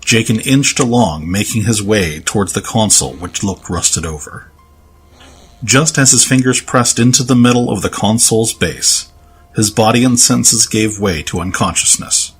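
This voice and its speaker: A man reading scripted story narration in a serious, measured, dramatic manner. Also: fairly low pitch, lively intonation, breathy edge. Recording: music under the voice.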